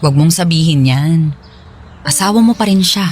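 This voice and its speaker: A woman's voice: sits low, lively intonation, moderate pace, a little mellow, a little hiss or hum. An actress playing a forgiving, modest woman talking with an old friend in a radio drama.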